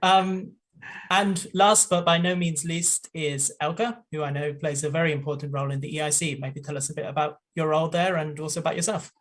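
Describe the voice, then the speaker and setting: A man's voice: on the high side, fairly even intonation, fairly quick, a bit bright. A man hosting a live online science broadcast, introducing his colleagues with fillers and small laughs. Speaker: host.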